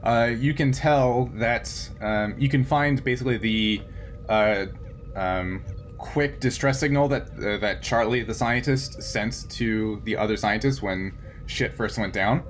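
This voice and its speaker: A man working as game master, narrating a tabletop game in a halting, conversational way with frequent chuckles. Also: mid-range voice, a little hiss or hum.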